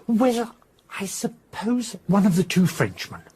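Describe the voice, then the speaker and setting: Excitable husky English mystery writer. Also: male voice, high voice, slow pace, heavily acted. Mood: musing, flustered. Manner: effusive, rambling, theatrical. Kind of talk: radio drama acting.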